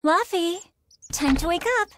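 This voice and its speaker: An actress playing a caring teenage older sister in a game, motherly and gently firm. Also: very high-pitched, measured pace. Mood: gentle. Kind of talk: video game acting.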